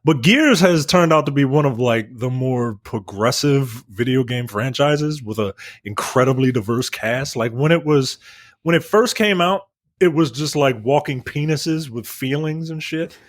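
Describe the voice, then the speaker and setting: Man, medium pitch, dry close recording, casual podcast chat, rambling, halting, sweary. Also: no room sound. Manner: profane, informal, halting and rambling. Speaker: podcast co-host. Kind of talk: casual movie and game news chat.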